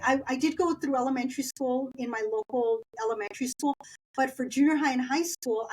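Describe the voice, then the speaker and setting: A woman's voice: fairly high pitch, a little mellow, poor audio. Chatty Los Angeles woman telling stories. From East Los Angeles, Southern California. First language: Spanish. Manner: chatty, anecdotal, laughs while telling stories. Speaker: guest. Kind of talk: conversational interview storytelling.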